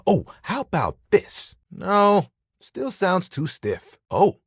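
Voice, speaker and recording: Casting: insecure, friendly towel character for a video game; very swooping, theatrical delivery, slightly husky, hesitant and heartfelt. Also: male voice, high-pitched, slow pace, no echo, studio quality, heavily acted. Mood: enthusiastic, crafty. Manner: casual, earnest, hesitant, theatrically expressive. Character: living towel, easygoing, sensitive, insecure young male buddy.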